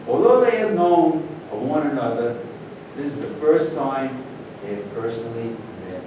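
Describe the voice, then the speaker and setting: A man's voice: lively intonation, normal speed. A man reading scripted narration and stage directions at a staged play reading. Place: echoing indoor room at a staged reading. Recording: echoey distant room recording, noisy rumble.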